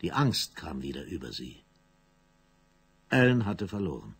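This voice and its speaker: An actor narrating a radio play as a grave storyteller, in dramatic scripted style. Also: male voice, sits low, sing-song, measured pace, creaky, husky, full character voice. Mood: grave, intense.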